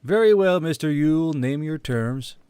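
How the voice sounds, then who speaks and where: A man's voice: on the high side, lively intonation, moderate pace, dark tone, smooth, dry recording, voice pushed up, natural voice. A man voicing a proud, theatrical fire sprite colonel with a formal, amused manner in a fantasy war audiobook. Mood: wry, courtly.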